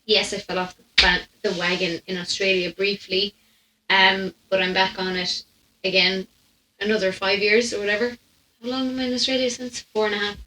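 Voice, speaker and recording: A young woman with an Irish accent and a bright, forward voice hosts a casual podcast. She rambles through her storytelling with plenty of asides and self-interruptions. The sound is roomy, like a microphone set up in a small room at home. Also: mid-range voice, level tone, normal speed, slight background noise. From Ireland. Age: young adult. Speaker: podcast host. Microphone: microphone on a stand, close in front.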